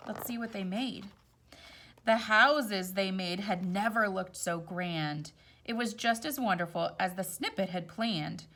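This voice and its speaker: A woman reading a picture book aloud to young children, expressive, with casual explanatory asides. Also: mid-range voice, a little slow. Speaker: storytime reader.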